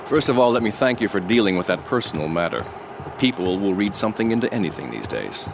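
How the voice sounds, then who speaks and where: A suave scheming tycoon. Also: male voice, lowish voice, fast, gravelly, music in the background. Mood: smooth. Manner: calm, polished, dryly ironic. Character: suave, scheming rich male property tycoon.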